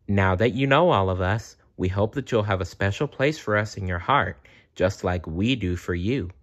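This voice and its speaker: A man reading a children's storybook aloud as a storyteller, deliberate and often clearing his throat. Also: fairly low pitch.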